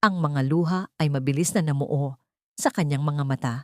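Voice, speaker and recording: A woman's voice: deep voice, moderate pace, dark tone, dry recording, studio quality. A woman reading a scripted dramatic story as an audiobook narrator.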